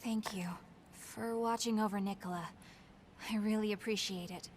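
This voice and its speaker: Actress as sincere young female comrade, mid-pitched, direct, earnest, game drama, background noise. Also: steady tone, moderate pace, natural voice. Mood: grateful, restrained. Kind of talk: dramatic video game voice acting. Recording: clear background noise and ambience.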